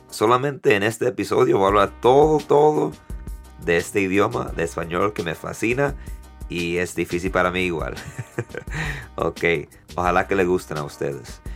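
A man in his thirties from New York hosting a casual solo podcast in Spanish, with an accent mixing Dominican and American English, halting and correcting himself often. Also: low voice, vocal fry. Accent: mix of Dominican Spanish and American English. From New York, United States. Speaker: podcast host.

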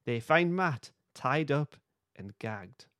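Male podcast host, mid-pitched, playful narrator of a comic script, very dry close recording. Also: lively intonation, slow pace, a bit bright, no echo. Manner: playful, breaks off to comment. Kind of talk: reading a comic script aloud.

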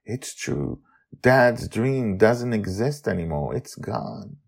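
A man's voice: fairly low pitch, lively intonation, slow pace, creaky, slightly rough, pitched above the performer's own voice, full character voice. A man voicing a brave nine-year-old girl who explains bad news plainly. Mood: somber.

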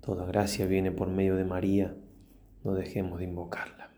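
Male priest, low and mellow, reverent and unhurried, for guided prayer meditation. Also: completely flat delivery. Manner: slow, reverent, with long pauses.

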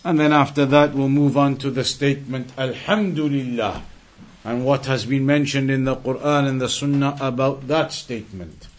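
A man's voice: medium pitch, moderate pace. A man giving a religious lesson as a teacher, moving between reciting quotations and explaining them.

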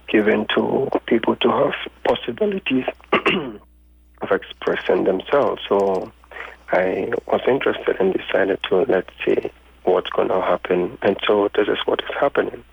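A man whose first languages are local tongues speaks, answering as a guest performer poet slowly and deliberately. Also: fairly low pitch, gravelly, husky, no echo, rough recording. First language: Ghanaian languages (Twi, Ga, Dangme, Ewe). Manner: slow and deliberate, pausing often. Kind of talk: casual interview answers.

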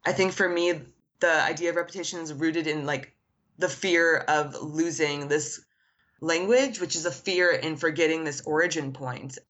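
A woman's voice: sits low, normal speed, a bit bright, highs cut off. A woman artist whose first language is Arabic, answering interview questions in an earnest, reflective way, with frequent "like" fillers. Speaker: artist guest.

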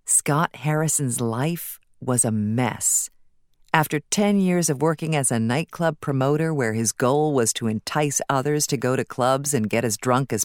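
A woman with a low-pitched voice narrates a nonfiction audiobook. Her delivery is measured and articulate, with an instructive tone. The sound is close and dry, with almost no room echo. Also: a little mellow.

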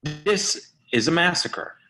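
A man's voice: on the high side, lively intonation, slow pace, pushed and bright, slight background noise, exaggerated voice, rough recording. A man playing a bossy, dismissive office worker in a play reading, speaking in emphatic declarations. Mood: insistent.

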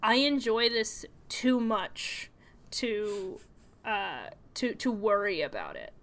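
Bright-voiced young woman chatting on a podcast. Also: mid-range voice, sing-song, takes it slowly. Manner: chatty, storytelling, with frequent fillers. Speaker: podcast co-host. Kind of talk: casual podcast chat.

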